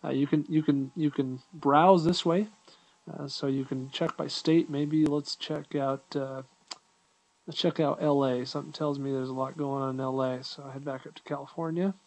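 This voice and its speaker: Man with a higher-pitched voice, casual tutorial. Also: fairly even intonation, moderate pace, darkish tone, poor audio. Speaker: app reviewer giving a tutorial. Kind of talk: casual unscripted screen walkthrough.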